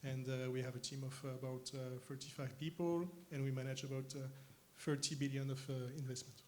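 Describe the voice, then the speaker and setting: A young man from France with a French accent, answering stage interview questions thoughtfully with frequent pauses. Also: mid-range voice, little pitch movement, slight background noise. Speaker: interviewed guest, company co-founder. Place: conference arena stage.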